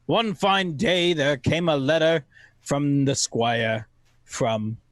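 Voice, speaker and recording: A man playing a reflective narrator who recalls a sea adventure in measured radio play storytelling. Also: on the high side, no echo.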